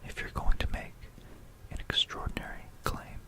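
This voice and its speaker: An ASMR host telling history in a breathy, unvoiced whisper, unhurried, pausing and softly repeating phrases, close to a stereo binaural microphone.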